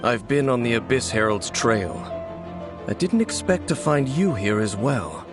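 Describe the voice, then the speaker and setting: An actor playing a calm, measured male swordsman in a video game, speaking in a composed, serious way. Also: medium pitch, over music, natural voice.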